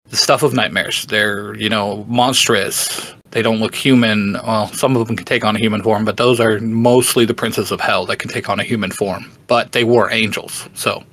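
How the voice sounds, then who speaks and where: A man's voice: medium pitch, a bit bright. A man chatting casually as a podcast guest, explaining story lore in an informal, enthusiastic way. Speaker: podcast guest, fantasy book fan.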